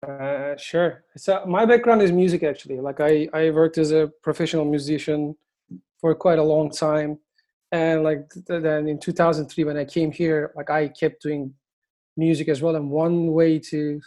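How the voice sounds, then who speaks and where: A man's voice: a little high, fairly even intonation, moderate pace, mellow. A man from Turkey with a Turkish accent, a company founder answering interview questions with many fillers and restarts. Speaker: agency founder, guest.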